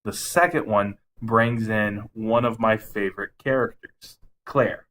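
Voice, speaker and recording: A man's voice: fairly low pitch, steady tone, a little slow, fairly bright tone. A man co-hosting a podcast in casual chat about movies, often chuckling as he talks. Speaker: podcast co-host.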